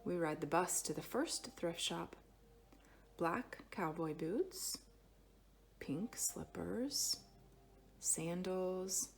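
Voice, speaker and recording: A woman reading a children's picture book aloud expressively, with dramatic phrasing that stretches some words and hushes others. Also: on the low side, a little hiss or hum. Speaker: storybook reader.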